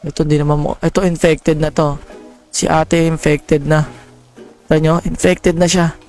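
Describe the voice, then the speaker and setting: A man's voice: fairly high pitch, little pitch movement, normal speed, a little mellow, soft background music. A Filipino man hosting casual, jokey gameplay commentary, speaking straight to his viewers. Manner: jokey, addresses viewers directly. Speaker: gaming content creator, host.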